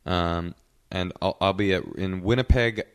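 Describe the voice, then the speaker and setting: A man's voice: low voice, a little slow, clear, resonant tone. A man co-hosting a comedy podcast, riffing loosely through an ad read and tour dates. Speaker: podcast co-host. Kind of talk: casual banter, ad read. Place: podcast studio.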